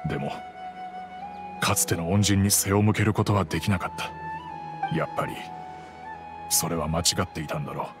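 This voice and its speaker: An actor playing a middle-aged, fatherly master of a wealthy house in an anime game, measured, gentle and remorseful. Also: low-pitched, natural voice. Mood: grave, regretful. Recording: soft music behind the voice.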